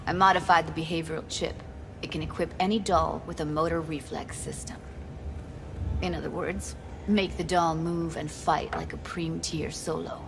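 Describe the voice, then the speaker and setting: An actress playing a guarded, determined young tech tinkerer in a video game cutscene, with clipped, matter-of-fact delivery. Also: female voice, low voice, steady tone, moderate pace, music in the background. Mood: explaining, earnest.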